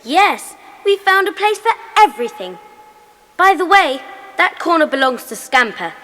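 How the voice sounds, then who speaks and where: A woman's voice: very high-pitched, big pitch swings, measured pace, bright, forceful tone, exaggerated voice. A woman playing an eager, helpful young schoolgirl in a children's audio drama, keen and polite. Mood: keen, tidy. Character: eager, tidy young schoolgirl in a secret club. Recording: long hall-like reverb on voice.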